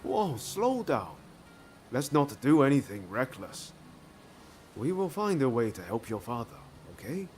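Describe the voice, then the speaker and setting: A man's voice: mid-range voice, sing-song, unhurried, darkish tone, a little hiss or hum. A man playing a breezy, cheeky rebel swordsman in a video game cutscene, casual and jaunty. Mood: calming.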